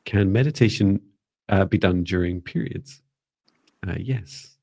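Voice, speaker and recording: A man's voice: deep voice, unhurried, creaky, dark tone, no echo, clean studio sound. A middle-aged man hosting a live stream in casual, jokey, chatty conversation.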